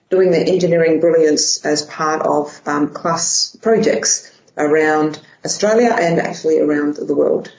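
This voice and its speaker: A woman's voice: lowish voice, a little mellow. A woman hosting a podcast interview, enthusiastic and effusive as she welcomes and questions her guest. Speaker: podcast host. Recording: roomy, slightly dull sound.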